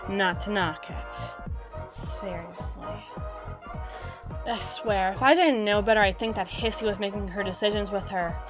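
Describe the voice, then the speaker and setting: A woman in an ASMR roleplay voicing a gruff, guarded drider girl bouncer in her twenties, wry and brusque with exasperated sighs. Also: mid-range voice, a bit bright. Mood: teasing. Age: 20s. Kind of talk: ASMR roleplay audio drama. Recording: music playing in the middle distance. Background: club song playing in the middle distance.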